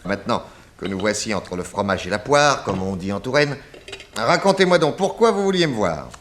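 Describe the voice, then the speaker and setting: An actor playing a genial, self-assured private detective of 1910 in a French radio drama, urbane and expansive. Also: male voice, on the low side, big pitch swings, normal speed, fairly bright tone, slight background noise. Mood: amused, assured.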